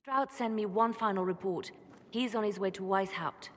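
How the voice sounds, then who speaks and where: A woman playing a composed advisor in a video game, reporting in a calm, businesslike way. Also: mid-range voice, normal speed, long reverb tail, lightly acted.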